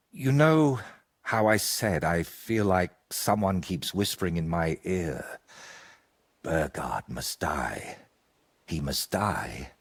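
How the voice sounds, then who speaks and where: An actor playing a troubled, guilt-ridden older medieval painter in a video game, husky and earnest, as if confessing. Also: male voice, sits low, exaggerated voice. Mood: troubled, confessional. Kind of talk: video game dialogue acting.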